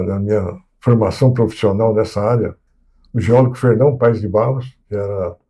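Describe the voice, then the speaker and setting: A man in his sixties with a Brazilian accent, a guest in an interview, recalling his career at an unhurried pace with many restarts and hesitations. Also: medium pitch, mellow. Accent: Brazilian Portuguese, São Paulo coast. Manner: reminiscing, with frequent restarts and hesitations. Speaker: guest, civil engineer. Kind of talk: casual interview talk.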